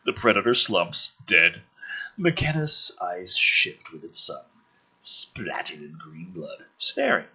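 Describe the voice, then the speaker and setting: Male script reader and commentator, bright, forceful and very animated, sardonic edge, slightly husky. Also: deep voice, slow, poor audio. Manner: sardonic, emphatic, profane. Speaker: host reading a film script aloud with his own commentary. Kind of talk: dramatic script reading with sarcastic asides.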